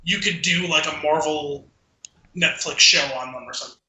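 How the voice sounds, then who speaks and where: A man with a high-pitched, very sharp and bright voice co-hosts a casual podcast. He offers opinions conversationally, and the sound around his voice is noticeably roomy and echoey. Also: moderate pace. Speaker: podcast co-host. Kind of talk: casual opinionated film discussion.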